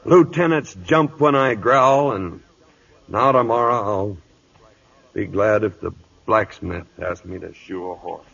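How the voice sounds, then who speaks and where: An actor playing an aging, gruff cavalry captain near retirement, blunt and commanding, in a vintage radio drama. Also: male voice, fairly low pitch, measured pace, a bit bright, exaggerated voice. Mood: weary, regretful. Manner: blunt, commanding military address. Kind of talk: 1940s-50s radio drama acting. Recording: muffled vintage radio broadcast.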